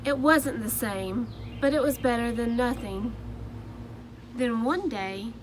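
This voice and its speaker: A woman reading the part of a timid male egg who tells his own story in soft, earnest first-person storybook narration. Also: on the high side, slow, noisy background. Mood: hopeful.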